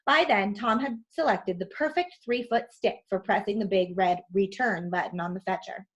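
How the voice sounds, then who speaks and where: A woman narrating a children's storybook aloud in an expressive style. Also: medium pitch, moderate pace, muffled recording.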